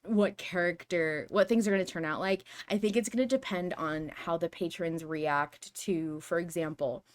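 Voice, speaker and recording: Low-pitched woman talking through her thoughts. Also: moderate pace. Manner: rambling, reasoning aloud through hypotheticals. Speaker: podcast co-host. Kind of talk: casual fan discussion and speculation.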